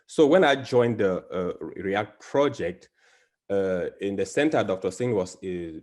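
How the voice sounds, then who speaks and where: A man from Cameroon, a biology professor, giving an academic presentation over a video call, halting with many fillers and restarts. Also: on the low side, lively intonation, a little mellow.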